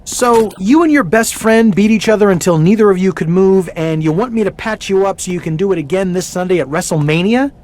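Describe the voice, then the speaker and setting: Man acting high-voiced, snide sports trainer. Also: fairly quick, hardly any pauses, a little mellow, natural voice. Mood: sardonic. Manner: dry, sarcastic. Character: snide, unimpressed male wrestling trainer. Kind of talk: video game cutscene acting.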